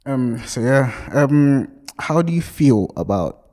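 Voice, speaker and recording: A man's voice: mid-range voice, animated, takes it slowly, mellow. A man hosting a casual interview, laid-back, playful and upbeat. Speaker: interviewer host.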